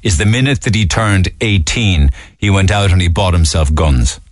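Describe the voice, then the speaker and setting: Irish man, talk radio host, very low voice, dry close studio sound, measured and earnest. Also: dry recording. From Ireland. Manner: measured, earnest, with frequent fillers. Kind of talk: talk radio, reading listener texts and taking calls. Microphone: studio microphone.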